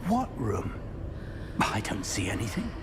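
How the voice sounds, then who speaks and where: An actor voicing an older male wizard professor in a video game, a curious, steady mentor with a measured, musing manner. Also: fairly low pitch, noisy background. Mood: curious, puzzled. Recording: loud game music behind the voice.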